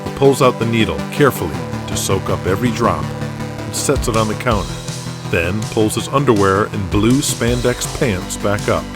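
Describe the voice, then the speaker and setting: A man's voice: lowish voice, animated, moderate pace, over music. A man narrating an audio drama in a dramatic, scripted storytelling style.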